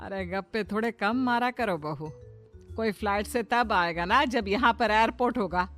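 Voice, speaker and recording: A woman voicing an older mother-in-law, practical and kindly with a gently scolding manner, in a cartoon story. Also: mid-range voice, normal speed, natural voice. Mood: mildly exasperated. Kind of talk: Hindi cartoon moral story acting. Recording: music behind the voice.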